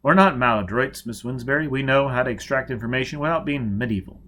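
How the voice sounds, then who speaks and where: A man voicing a courteous young intelligence officer in an audiobook, gentle, adroit and reassuring. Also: mid-range voice, fast talker, a little hiss or hum, natural voice. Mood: soothing sympathy.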